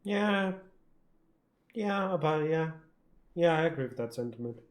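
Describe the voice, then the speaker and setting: Wry game streamer, mid-pitched voice. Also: animated, measured pace. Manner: wry, joking, sweary. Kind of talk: casual reaction commentary over gameplay.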